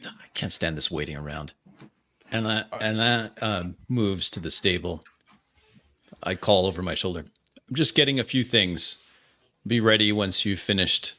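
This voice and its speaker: A man's voice: low voice, normal speed, pushed and bright. A man improvising as a stiff, skeptical, learned doctor in a tabletop roleplaying game, speaking formally. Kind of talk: improvised in-character roleplay and narration.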